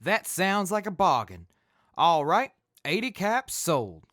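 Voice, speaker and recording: A decisive traveler of the wasteland, acted by a man, with a fairly high, very lively voice. He sounds brisk and businesslike, recorded dry and close for an audio drama. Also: no echo, full character voice. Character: decisive male wasteland traveler, the group's buyer. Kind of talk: post-apocalyptic audio drama acting.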